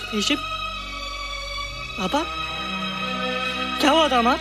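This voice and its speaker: An actor playing an innocent, hurt, childlike grown son in a film drama, speaking plaintively. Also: male voice, very high-pitched, lively intonation, takes it slowly, over music, full character voice. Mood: bewildered. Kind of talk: Bollywood film dialogue.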